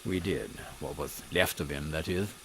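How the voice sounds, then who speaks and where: A man voicing a composed British captain of Victorian secret agents, measured and formal, in a comic audio drama. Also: a bass voice, fairly bright tone. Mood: grave. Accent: British English. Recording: faint background noise.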